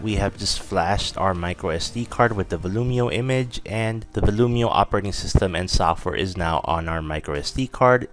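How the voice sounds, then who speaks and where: A man in his thirties narrating a step-by-step tech tutorial, instructing the listener directly. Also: deep voice. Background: background music.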